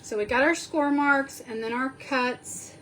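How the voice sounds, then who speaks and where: A woman sniffling a little as she slowly talks through a card-making tutorial, counting measurements aloud while she works. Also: sits high, fairly even intonation, bright, forceful tone, slight background noise. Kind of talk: step-by-step crafting tutorial.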